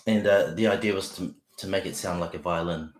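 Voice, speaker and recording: A man talks guitar on an early-morning livestream, his low voice croaky and broken by throat-clearing. He wanders through fillers and restarts in a friendly, unhurried way. The small room around him adds a noticeable echo. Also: steady tone. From New Zealand. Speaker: guitar teacher. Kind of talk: casual livestream lesson. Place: small home office.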